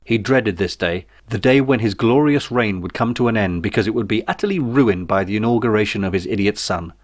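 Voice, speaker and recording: A man's voice: fairly low pitch, fairly quick, dry recording, clean studio sound. A man reading a comic novel aloud as its narrator, with a wry, theatrical delivery. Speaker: author reading his own novel. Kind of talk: comic scripted audiobook narration.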